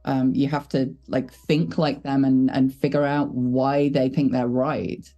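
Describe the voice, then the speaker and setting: A woman with a low voice, a voice actor chatting casually in an interview, thoughtful and self-deprecating. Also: level tone, measured pace, muffled recording, faint music behind. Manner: thoughtful, self-deprecating, laughs while talking. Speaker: voice actor guest.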